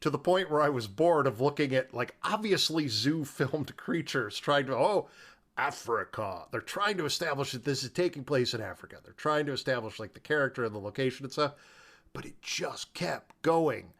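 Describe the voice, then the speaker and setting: Casual male podcast co-host, halting and tangential. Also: medium pitch, lively intonation, a bit bright. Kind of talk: casual film discussion.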